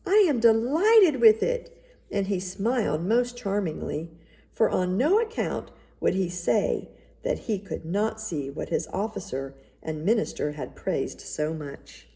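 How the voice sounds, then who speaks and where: A woman narrates a fairy tale for listeners in an expressive read-aloud style. Her voice sits a little low and swings freely in pitch, with a slightly husky, creaky edge. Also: unhurried. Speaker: storybook reader.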